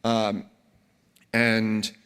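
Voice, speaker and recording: A man with a cold giving an energetic conference talk, with frequent fillers and restarts. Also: fairly low pitch, very slow, smooth. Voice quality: a bit congested. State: under the weather, has a cold. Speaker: conference speaker.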